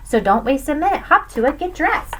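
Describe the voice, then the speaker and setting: Lady doing a bossy happy storybook bird guy, high voice, super excited urging, bouncy pitch. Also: a little fast, slight background noise. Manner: exclaiming, urging. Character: exuberant, bossy, generous male birthday bird.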